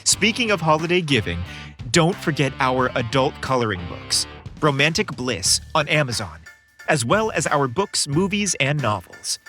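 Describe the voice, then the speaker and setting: A man's voice: fairly high pitch, sing-song, normal speed, bright, forceful tone, soft background music. A man narrating a scripted story as a podcast host, with a theatrical, florid delivery.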